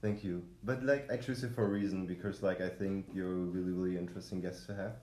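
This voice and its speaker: Male podcast host, low warm tone, rambling delivery full of fillers. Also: fairly even intonation, fast talker, reverberant. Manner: rambling, many fillers and restarts. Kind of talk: casual conversational podcast.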